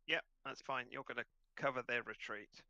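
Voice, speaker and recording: A man's voice: mid-range voice, fairly even intonation, moderate pace, pushed and bright, dry recording, muffled recording. A man casually running an online tabletop game as its game master.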